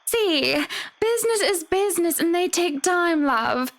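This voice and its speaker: An effusive, affectionate employer in a visual novel. Her voice sits very high, and she speaks sweetly, full of endearments. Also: expressive, unhurried, exaggerated voice. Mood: businesslike. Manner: endearing, calls others dear and love, effusive. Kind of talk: voiced video game dialogue.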